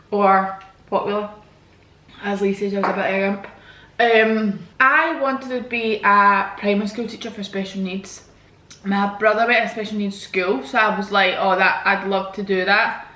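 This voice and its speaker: A woman's voice: medium pitch, bright, forceful tone, echoey room, rough recording. A woman, chatting frankly and casually to her viewers while answering their questions. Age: 20s. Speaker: vlogger. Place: home, indoors. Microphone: camera mic.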